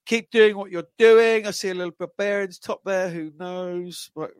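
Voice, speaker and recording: A man hosting a sports podcast, interviewing guests in a casual, halting way that often repeats words. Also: high voice, fairly even intonation, smooth, dry recording, studio quality. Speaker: podcast host.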